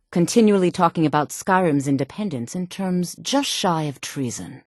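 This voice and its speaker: An actress playing a sultry, self-assured woman in a fantasy video game, speaking in a poised, measured and haughty manner. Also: deep voice, no echo, studio quality. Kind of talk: video game character dialogue.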